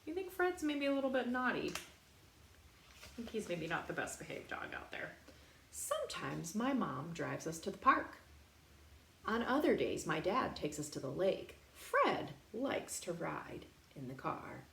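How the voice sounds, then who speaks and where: A woman reading a picture book aloud in a playful, expressive way, pausing to put questions directly to young children. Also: medium pitch, vocal fry. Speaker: storytime reader for young children. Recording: roomy echo, faint background noise.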